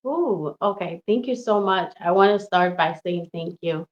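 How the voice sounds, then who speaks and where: A woman's voice: lowish voice, measured pace, lo-fi sound. A woman in her thirties from Haiti, chatting casually and effusively about herself as an interview guest. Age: 30s. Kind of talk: casual personal chat.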